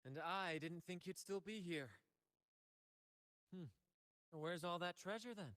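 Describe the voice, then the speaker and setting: A flamboyant young pirate adventurer from a video game, with a fairly high male voice. He is theatrical and playful, teasing with easy confidence, in a close, dry recording. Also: unhurried, fairly bright tone, no echo. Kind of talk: video game character acting.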